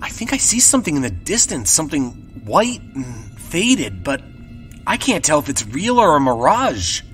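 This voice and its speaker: A man's voice: on the high side, sing-song, moderate pace, fairly bright tone, faint music behind. An actor playing a frightened young man trapped in a vampire's mansion, talking to himself anxiously and stammering. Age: young adult. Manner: anxious, stammering inner monologue.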